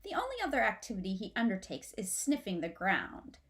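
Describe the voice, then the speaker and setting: A woman's voice: medium pitch, very animated, brisk, fairly bright tone. A middle-aged woman reading a children's story aloud expressively, like a teacher, in a room at home. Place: small furnished room at home, indoors.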